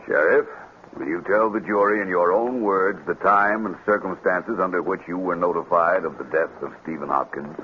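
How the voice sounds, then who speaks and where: Actor as a low-voiced probing prosecutor. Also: male voice, steady tone, normal speed, close to an everyday voice. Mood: formal probing. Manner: formal, precise questioning. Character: formal, probing courtroom prosecutor. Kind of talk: 1950s radio drama acting. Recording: muffled, noisy vintage radio recording.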